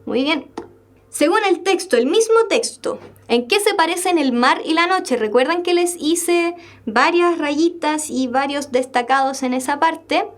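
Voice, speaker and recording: A woman's voice: sits high, a little slow. A young Chilean woman teaching a live exam-prep class. She reads a text aloud, explains it, and keeps checking in with her students. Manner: lively, checks in with students by asking "¿ya?" and "¿verdad?" again and again.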